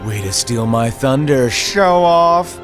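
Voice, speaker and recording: Eccentric master with wildly swinging pitch. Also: male voice, huge pitch swings, soft background music. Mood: mock indignant. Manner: whimsical, dramatic, mock-petulant, drawn-out delivery. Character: eccentric, theatrical, playful master of apprentices. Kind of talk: animated fantasy game cutscene acting.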